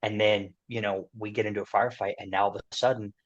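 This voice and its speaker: Low-voiced man, muffled lo-fi audio. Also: level tone, quick pace. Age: 40s. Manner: emphatic and opinionated, with frequent "you know". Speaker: guest, army veteran. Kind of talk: casual interview conversation.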